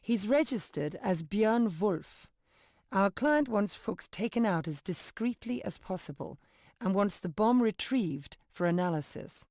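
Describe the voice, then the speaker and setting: A woman's voice: mid-range voice, lively intonation, a little slow, darkish tone, no echo, clean studio sound. A woman playing a composed, businesslike handler briefing an assassin in a video game, in formal phrasing. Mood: cool, businesslike.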